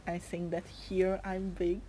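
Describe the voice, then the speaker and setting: A young woman with a Hungarian accent speaks English, her second language, in a soft, hesitant way, pausing often and reaching for words. Her voice sits fairly low for a woman, and she talks at an unhurried, drawn-out pace. A faint noise runs behind her. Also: monotone, rough recording. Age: 20s. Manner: hesitant, with frequent pauses and fillers. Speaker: interviewee. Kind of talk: casual interview answers.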